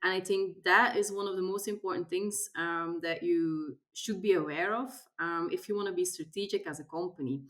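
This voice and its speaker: Belgian woman, slightly low voice. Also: steady tone, normal speed, few pauses, fairly bright tone. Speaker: podcast guest, software industry expert. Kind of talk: conversational interview, explanatory.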